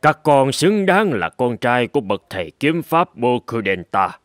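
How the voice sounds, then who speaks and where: A man voicing a stern old swordmaster and father in a fairy-tale cartoon, speaking in a measured, formal, lecturing way. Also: mid-range voice, big pitch swings, no room sound, studio quality. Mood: solemn.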